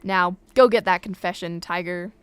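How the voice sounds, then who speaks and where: A woman's voice: fairly low pitch, steady tone, normal speed, clean tone, dry recording, natural voice. A woman playing a supportive police colleague in an audio drama, briefing in an encouraging, matter-of-fact way. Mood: brisk, encouraging.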